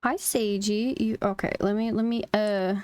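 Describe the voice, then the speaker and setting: A woman's voice: mid-range voice, steady tone, moderate pace, a little mellow, smooth, no echo. A woman streaming a game and chatting casually to her viewers, with giggly, murmured reactions. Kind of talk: casual livestream chat while playing a game.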